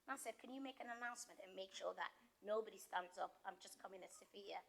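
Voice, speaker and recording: A woman's voice: mid-range voice, fast, vocal fry, a bit bright. A middle-aged woman, the retiring mayor, giving a heartfelt, joking farewell speech in a council chamber.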